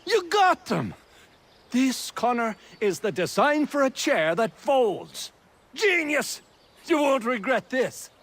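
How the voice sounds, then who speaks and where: An actor playing an excitable, boastful colonial inventor in a video game, with a husky voice and theatrical, drawn-out emphasis. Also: male voice, very high voice, heavily acted. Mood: excited, proud. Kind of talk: video game character acting.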